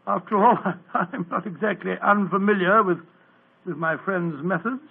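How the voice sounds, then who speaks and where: An actor playing a bumbling, blustery middle-aged doctor who stammers and hems, in 1940s radio acting on a tinny old broadcast. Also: male voice, high-pitched, normal speed, full character voice. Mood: brisk. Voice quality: husky, stammering with ums and uhs.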